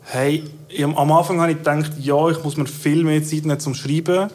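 A man's voice: on the high side, fairly even intonation, a little fast, a little mellow. A man with a Swiss German accent whose first language is Italian, chatting easily as a rapper in an interview. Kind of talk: casual interview chat.

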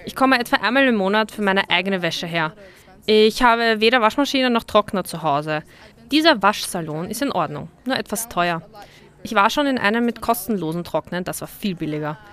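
A woman's voice: mid-range voice, animated, moderate pace, fairly bright tone, natural voice. Woman voicing a dreamy laundromat customer. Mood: reflective. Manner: reflective, conversational. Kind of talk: dubbed voice-over of an interview.

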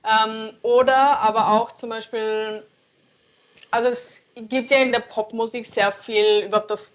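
A woman's voice: on the high side, little pitch movement, measured pace. A young woman songwriter answering interview questions casually, hesitant and searching for words, with frequent laughs. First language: German. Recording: dull lo-fi sound.

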